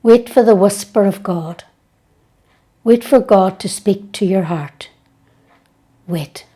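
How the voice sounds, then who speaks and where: A woman leading a guided prayer meditation in a gentle, hushed, unhurried way with long pauses. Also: mid-range voice.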